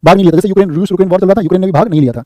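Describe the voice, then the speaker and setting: Male coach, high voice, very fast repeating quiz delivery. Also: mellow, no echo. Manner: rapid, repeats answers and asks "what will you say?". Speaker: exam coaching teacher. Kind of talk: live online class, quizzing students.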